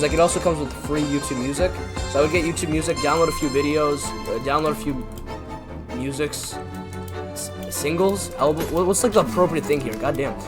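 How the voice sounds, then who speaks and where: Rambling young man, music behind. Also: a little high. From Canada. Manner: rambling, filler-heavy, self-deprecating. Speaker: YouTuber. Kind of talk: casual chat over gameplay. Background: background music.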